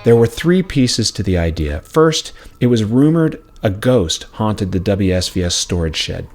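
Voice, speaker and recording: A man reading a scripted personal memoir in a measured, reflective storytelling way. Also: on the low side, expressive, darkish tone. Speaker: narrator of own memoir, former radio station worker. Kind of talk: scripted narration. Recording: music playing behind the voice. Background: plucked acoustic string music.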